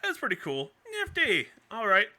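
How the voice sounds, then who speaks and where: Very bright-voiced man chatting playfully. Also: high voice, wildly swooping pitch, moderate pace. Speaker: podcast co-host. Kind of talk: casual fan chat.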